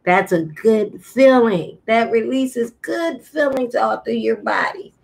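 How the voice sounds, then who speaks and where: A middle-aged American woman, an early childhood teacher, giving an informal video message to parents and toddlers in a deliberate, halting, sometimes singsong way. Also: on the high side, lively intonation, vocal fry. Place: small indoor room at home. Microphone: laptop webcam at arm's length.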